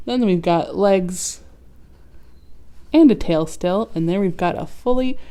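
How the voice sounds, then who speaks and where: A woman reading a children's picture book aloud as host, expressive, with explanatory asides. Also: lowish voice.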